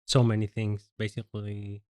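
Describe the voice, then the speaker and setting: A man's voice: on the low side, monotone, moderate pace, darkish tone, clean tone. Easygoing male podcast host. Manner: easygoing, laughs at own mistakes, short backchannels. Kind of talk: casual interview chat.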